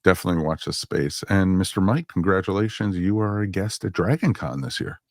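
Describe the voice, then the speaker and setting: A man's voice: sits low, a little fast, no echo, clean studio sound. A man co-hosting a casual fan podcast, chatting in a wry, mildly sarcastic way. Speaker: podcast co-host.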